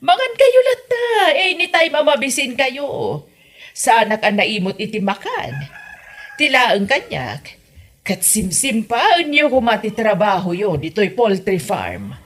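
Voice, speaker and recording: A woman's voice: on the high side, huge pitch swings, measured pace, full character voice. A woman with an Ilocano Filipino accent acting a generous, welcoming female poultry farm boss in a comedy audio drama. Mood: friendly, instructing.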